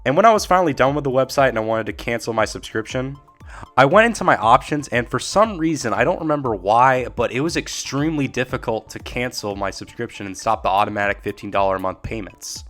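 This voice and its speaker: A young man hosting a YouTube talk series, rambling and candid in casual commentary, his voice a little hoarse from a cold. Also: medium pitch, animated, quick pace, a bit bright, soft background music. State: slightly hoarse, getting over a cold. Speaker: YouTube host.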